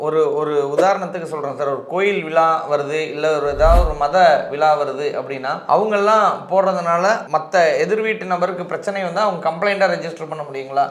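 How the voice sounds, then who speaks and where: A man's voice: a little high, fairly quick, slight background noise. A man with a Tamil Nadu accent interviewing politely, putting respectful questions to an expert. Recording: echoey, reverberant room.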